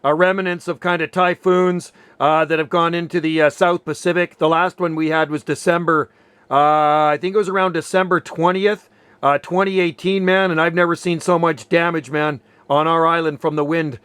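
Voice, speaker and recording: An enthusiastic man in his sixties, chatting casually on the radio and tossing in "man" again and again. His voice is smooth and clear, a little high for a man, and sounds dry and close. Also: flat delivery, moderate pace, a bit bright, no echo. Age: 60s. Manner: enthusiastic, says "man" often. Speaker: ham radio operator, channel host. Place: home on a Pacific Northwest island.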